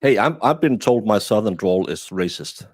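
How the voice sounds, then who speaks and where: A man's voice: lowish voice, animated, normal speed, breathy edge, dry recording. Man with a dry sound. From Denmark. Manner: rambling, many restarts, blunt. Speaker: guest commentator. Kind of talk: casual commentary chat.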